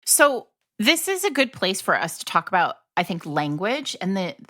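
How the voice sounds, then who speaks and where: A woman's voice: medium pitch, big pitch swings, moderate pace, pushed and bright. A woman co-hosting a podcast, talking casually and animatedly about a book, thinking aloud with frequent restarts. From grew up speaking Italian at home. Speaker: podcast co-host.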